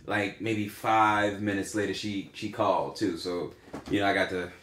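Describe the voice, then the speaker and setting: A man, in his thirties, speaks casually on a podcast. His voice sits on the low side, and he rambles with frequent fillers and restarts. The room around him is echoey. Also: flat delivery, moderate pace, fairly bright tone. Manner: rambling, hesitant, lots of fillers. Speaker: podcast co-host. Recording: roomy echo.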